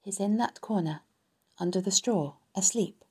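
A woman voicing a dying female spider in a storybook, composed, matter-of-fact and gently firm. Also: mid-range voice, normal speed, breathy edge, close to an everyday voice. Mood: weary.

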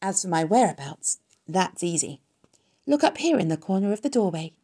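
A woman's voice: lowish voice, very animated, normal speed, mellow, husky. Woman as a poised lady spider, lilting voice. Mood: gracious. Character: poised, kindly, self-assured female spider.

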